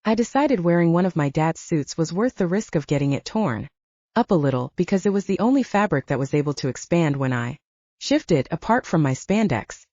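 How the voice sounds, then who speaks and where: Mellow, low-pitched woman narrator. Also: sing-song, brisk, dry recording, highs cut off. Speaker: audiobook narrator. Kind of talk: scripted first-person fiction narration.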